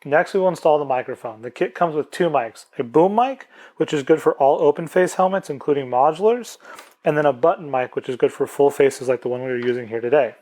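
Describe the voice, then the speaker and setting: A man's voice: a little high, a little fast, darkish tone. A man presenting an instructional product tutorial, explaining plainly step by step.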